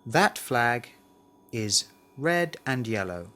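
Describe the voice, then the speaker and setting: A man's voice: mid-range voice, sing-song, slow, sharp tone. A man reading a scripted language lesson, carefully enunciating model words and sentences. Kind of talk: scripted language drill, read aloud.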